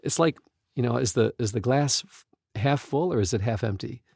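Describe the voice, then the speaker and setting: A man hosting a devotional talk, retelling stories and quoting scripture in an earnest, emphatic, deliberate way. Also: medium pitch, gravelly, a little mellow, breathy edge, no room sound, clean studio sound. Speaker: podcast host and Bible teacher.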